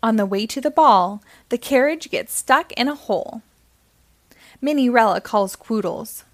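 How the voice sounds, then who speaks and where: A woman reading a story aloud to young children in an expressive, deliberate way with simple phrasing. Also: fairly high pitch.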